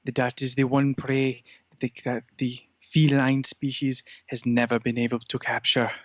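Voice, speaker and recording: Brief: male performer as a grandiose feline villain, flat delivery, formal and ominous, dry slightly dull recording, comedy podcast. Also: mid-range voice, normal speed, no room sound, lightly acted. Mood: menacing. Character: sentient cat bent on dominating humans, menacing and grandiose. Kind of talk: improvised comedy podcast character.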